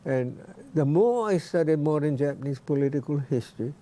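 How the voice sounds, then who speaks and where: A man's voice: a little high, animated, brisk, warm tone. A man in his nineties from Hawaii, a retired professor talking casually as an interview guest, searching for words and repeating himself. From Hawaii, United States. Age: 90s.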